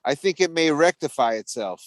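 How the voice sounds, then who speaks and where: A man's voice: on the high side, animated, normal speed. A man on a video call, chatting casually and asking dry, teasing questions. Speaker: call participant. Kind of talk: casual chat.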